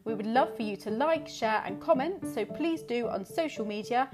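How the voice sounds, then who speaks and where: A woman's voice: on the high side, expressive, normal speed. A woman reading a scripted podcast introduction. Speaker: show announcer. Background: guitar music.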